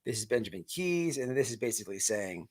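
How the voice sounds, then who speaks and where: A man hosting an online show with off-the-cuff livestream commentary, halting as he repeats words and restarts. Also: lowish voice, big pitch swings.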